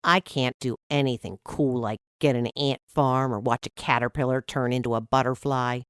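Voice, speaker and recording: A woman's voice: very deep voice, fairly even intonation, moderate pace, clear, resonant tone, no room sound, studio quality, pitched below the performer's own voice. A woman voicing a young schoolboy, glum but curious and eager, in a storybook reading.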